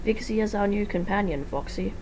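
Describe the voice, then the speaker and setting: A woman's voice: mid-range voice, brisk, background noise, pitched above the performer's own voice, natural voice. A woman reading the part of a friendly, laid-back young man in a casual, reassuring way for a fan story. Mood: informative.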